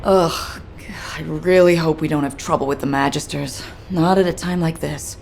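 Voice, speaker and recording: A woman's voice: low-pitched, steady tone, normal speed, vocal fry, a little mellow, slight background noise. A woman playing a brash, down-to-earth soldier-mechanic in a video game, talking in a direct, colloquial way. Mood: wry, worried. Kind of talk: video game character acting.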